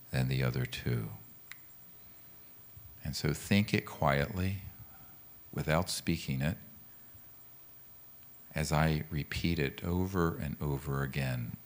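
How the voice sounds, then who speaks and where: A man leading a guided meditation as a teacher, speaking in a calm, gentle, measured way with pauses. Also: rumbling low. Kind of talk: guided meditation with mantra instruction.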